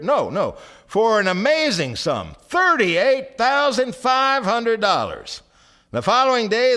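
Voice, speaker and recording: An actor playing a wry storyteller, narrating a radio drama on stage with theatrical emphasis and measured pauses. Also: male voice, fairly high pitch, fairly bright tone. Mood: dramatic. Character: wry, dramatic storyteller of a small-town tale. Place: theatre stage before a live audience.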